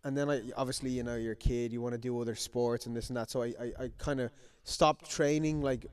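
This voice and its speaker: A young man raised in Ireland, a fighter chatting casually as a guest, with halting speech and lots of fillers. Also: mid-range voice, fairly even intonation, darkish tone, clear, resonant tone. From raised in Ireland, born in America. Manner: halting, many fillers and restarts. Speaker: guest, amateur MMA fighter. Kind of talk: casual interview chat.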